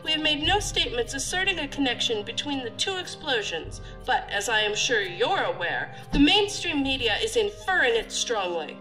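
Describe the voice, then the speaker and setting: Stern, animated agency superior. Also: female voice, high voice, moderate pace, hardly any pauses, faint music behind, heavily acted, rough recording. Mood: stern, disapproving. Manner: formal, authoritative, disapproving. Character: stern, critical female deputy director of federal agency. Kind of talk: audio drama acting.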